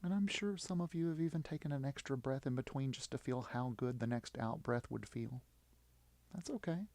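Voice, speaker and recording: A man leading a guided yoga nidra meditation in short, soothing, encouraging phrases with long pauses. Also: medium pitch, a little mellow, no echo.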